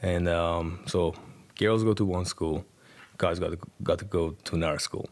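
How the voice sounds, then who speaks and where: A man's voice: low-pitched, steady tone, normal speed. A man in his twenties with an Iranian accent, telling his personal story in a colloquial, conversational way. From Iran. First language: Persian. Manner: colloquial, punctuated with "like" and "you know". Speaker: podcast guest, rapper.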